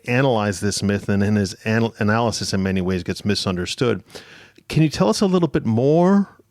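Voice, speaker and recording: Lower-voiced man hosting a history podcast. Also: no room sound, studio quality. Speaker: podcast host. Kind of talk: interviewing academic guests on a history podcast.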